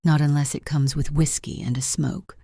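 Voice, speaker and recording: An actress playing a world-weary, guarded nightclub singer in a noir radio drama, wry and cool. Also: female voice, deep voice, fairly even intonation, moderate pace, mellow, slightly rough, no room sound, studio quality. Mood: defiant. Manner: wry, cool, hard-edged.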